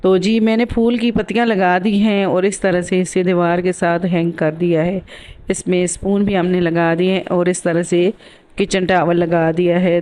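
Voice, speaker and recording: A woman's voice: lowish voice, a little mellow. A woman with a sore throat giving a casual voice-over for a DIY craft tutorial as the host.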